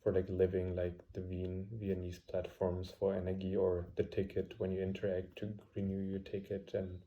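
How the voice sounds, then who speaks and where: A man's voice: deep voice, completely flat delivery, normal speed, dark tone, dull audio, slight background noise. A man in his twenties from Germany giving casual answers in an interview. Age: 20s. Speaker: student interviewee.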